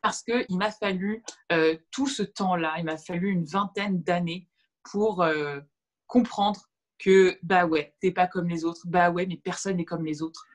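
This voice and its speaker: A woman's voice: on the low side, fairly even intonation, a little slow. A woman in her thirties whose first language is Arabic, a guest on a video-call interview, speaking reflectively with many fillers and restarts. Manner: gestures while talking. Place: room at home with shelves. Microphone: video call webcam.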